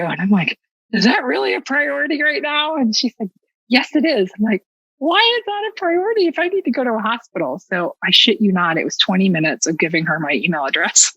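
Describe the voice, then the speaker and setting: Bright-voiced woman, dull lo-fi sound. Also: medium pitch, dry recording. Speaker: podcast guest telling a personal story. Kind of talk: animated casual storytelling.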